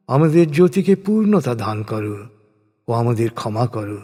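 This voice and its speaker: A man's fairly high voice carries through a long reverb. He narrates scripture in translation with solemn formality. His phrasing is measured, each phrase settling downward at its end. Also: darkish tone.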